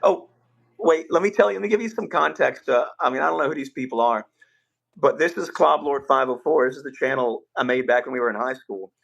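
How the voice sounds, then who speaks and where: A young man hosts a livestream in a loose, rambling way, full of filler words. His voice sounds a little rough and hoarse, and the audio is slightly muffled. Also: medium pitch, lively intonation, a little fast, fairly bright tone. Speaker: livestream host. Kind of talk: casual livestream chat.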